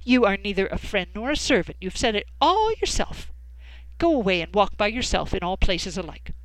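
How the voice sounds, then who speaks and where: A woman's voice: mid-range voice, very animated, normal speed, no room sound, rough recording. A woman reading the part of a clever, confident cave woman in a storybook, firm and teasing.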